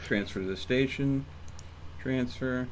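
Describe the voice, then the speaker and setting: A male streamer with a medium-pitched voice chats casually while gaming. He rambles and thinks out loud as he goes. There is some noise behind him. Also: measured pace. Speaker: live streamer playing a video game. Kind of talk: casual livestream gameplay chat.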